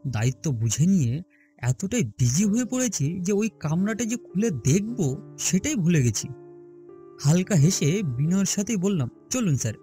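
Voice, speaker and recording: A man's voice: a little high, dark tone. A young man playing a polite, eager train ticket inspector, narrating a scripted first-person ghost story expressively over music. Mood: uneasy, courteous. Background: background music.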